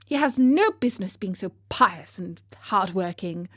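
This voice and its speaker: A woman's voice: medium pitch, animated, unhurried, no echo. A woman voicing an aged, forthright duchess from a storybook, haughty and scandalised as she pronounces her opinions. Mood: indignant, haughty. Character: aged duchess, forthright, indignant high-society old woman. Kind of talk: storybook character voice.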